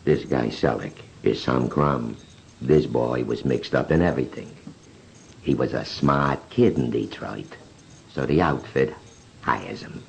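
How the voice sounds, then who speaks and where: An American man giving blunt, streetwise testimony in underworld slang, with a gravelly voice, on old radio. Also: extremely low pitch, measured pace. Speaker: underworld informant. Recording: noisy old radio recording.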